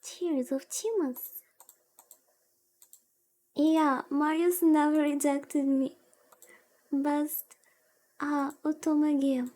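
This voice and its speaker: A woman's voice: high-pitched, fairly even intonation, drawn-out words, pauses often, warm tone. A woman chatting casually on a game livestream in a playfully dramatic, lamenting way, as the host. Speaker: VTuber streamer, host. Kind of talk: casual livestream chat while playing a visual novel. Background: background music.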